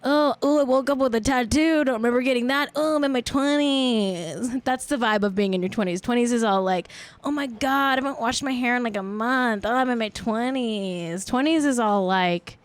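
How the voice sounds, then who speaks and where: A woman's voice: on the high side, smooth. Young woman host, rambling livestream chat, playful, self-mocking. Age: 20s. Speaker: show host.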